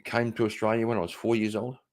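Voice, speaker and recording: Man, from Northern Ireland, livestream chat, blunt, profane, rambling, fairly low voice. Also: level tone, fast, darkish tone. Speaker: streamer.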